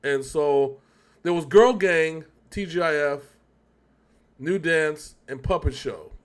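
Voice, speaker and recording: Male reaction co-host, slightly high voice, chatty, meandering, self-correcting delivery. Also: slow pace. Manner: rambling, talkative, self-correcting. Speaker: co-host of a music reaction show. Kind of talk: casual reaction chat.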